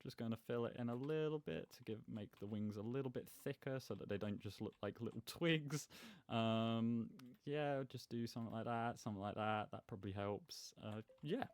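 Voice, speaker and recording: A man's voice: medium pitch, moderate pace, darkish tone, dry recording. A man giving casual, rambling gameplay commentary as host, with a self-deprecating manner. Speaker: gaming video host.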